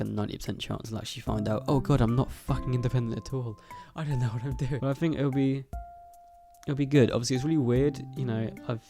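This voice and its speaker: A young man with a stuffy nose from a cold, rambling casually to camera about moving into his student flat, swearing often and laughing between thoughts. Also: medium pitch. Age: young adult. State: congested from a cold. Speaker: YouTuber. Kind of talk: casual vlog chat to camera.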